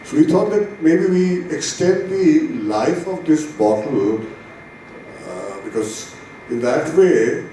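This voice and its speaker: A man presenting at a conference, answering a question in a halting, spontaneous way with frequent rephrasing. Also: on the high side, mellow. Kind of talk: spontaneous explanation. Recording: very echoey, distant hall mic, noisy.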